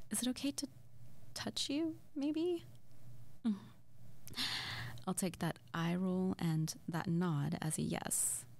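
A woman playing an excitable, playful young witch in an intimate ASMR audio roleplay, speaking softly and breathily, often near a whisper, in a halting murmur. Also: mid-range voice, creaky, full character voice. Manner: halting, giggly, murmuring to the listener.

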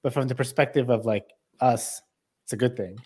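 Analytical male co-host, middle-pitched. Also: moderate pace, a little mellow, breathy edge. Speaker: show co-host. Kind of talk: casual political commentary. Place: indoor room, livestream video call.

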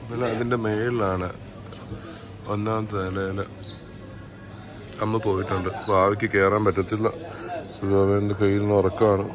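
A man's voice: on the low side, flat delivery, moderate pace, pauses often, over music. A man in his thirties with a Malayali accent, chatting casually as he walks a city street for a travel vlog. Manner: chatty, thinking aloud while walking. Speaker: travel vlogger. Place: outdoors on a busy city street. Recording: noisy street ambience.

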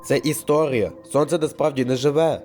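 A man playing a calm storyteller in a cartoon, explaining planetary history in a measured, scripted way. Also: medium pitch, natural voice. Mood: explanatory. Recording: music behind the voice.

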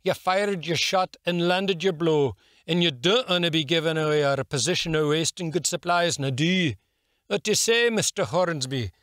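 Guy doing a thick scottish brogue, teasing old soldier, loud and bright, pretty high. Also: normal speed, no echo, clean studio sound, natural voice. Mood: joshing.

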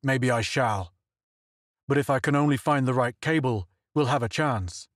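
A man's voice: mid-range voice, moderate pace, no room sound, clean studio sound, lightly acted. Man doing a fierce, hunted man. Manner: urgent, fierce. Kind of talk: audiobook character voice.

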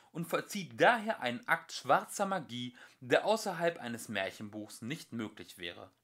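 A young man reading an audiobook as an amateur narrator, with expressive, emphatic delivery. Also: mid-range voice. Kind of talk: scripted audiobook narration of a fairy-tale commentary.

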